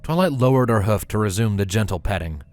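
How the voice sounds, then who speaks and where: A man reads a story aloud as a narrator. His pitch moves in a lively way through the sentences, and music plays faintly behind him. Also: quick pace. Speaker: fan fiction reader. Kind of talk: scripted story narration with character voices.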